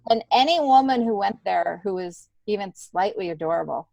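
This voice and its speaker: A woman's voice: medium pitch, expressive, moderate pace, gravelly, dark tone, no echo, lo-fi sound. A middle-aged woman with an American accent talks casually and with animation as an interview guest. She often breaks off and restarts her sentences. The recording is dry, with no room around the voice. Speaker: podcast guest, stand-up comedian. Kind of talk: casual conversational storytelling.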